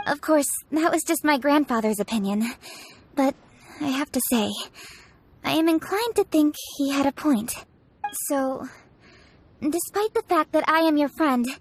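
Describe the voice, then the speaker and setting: A woman voicing a proud, conscientious young official in a video game, speaking formally and precisely with a slightly stiff manner. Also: sits high. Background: faint game music.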